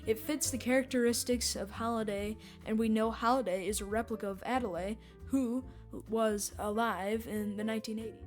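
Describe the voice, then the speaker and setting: A woman's voice: fairly high pitch, fairly even intonation, normal speed, a little mellow, soft background music. A woman giving casual fan-theory commentary as a host, rambling and repeating her points. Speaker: podcast host and commentator reacting to clips.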